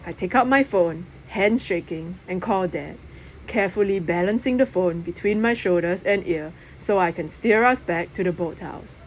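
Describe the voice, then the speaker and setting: A woman's voice: on the low side, moderate pace. Woman reading a story over background noise. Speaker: audiobook reader. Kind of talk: expressive storybook narration.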